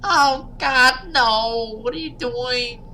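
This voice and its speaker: A man voicing a frightened, pleading young woman in a horror visual novel, excitable and desperate. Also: very high voice, drawn-out words, a little hiss or hum, pitched above the performer's own voice, heavily acted. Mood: panicked.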